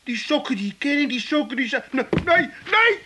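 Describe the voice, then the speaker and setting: A man acting a soft-hearted, easily flustered Amsterdam husband in a 1980s comedy radio play, hesitant and repeating himself, with an Amsterdam Dutch accent. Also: very high-pitched, big pitch swings, moderate pace, heavy background noise. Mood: panicked.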